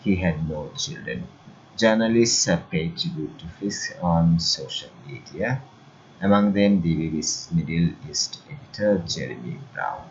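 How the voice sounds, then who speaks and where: A man narrating a scripted news report in a steady read-aloud delivery. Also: sits low, unhurried. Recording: dull lo-fi audio.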